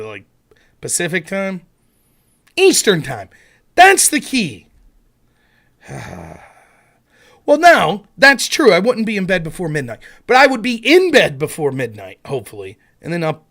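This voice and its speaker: A man's voice: sits high, a bit bright. A man from Pennsylvania hosting a casual livestream on a headset at home, grumbling to himself with mild curses and airing blunt political opinions.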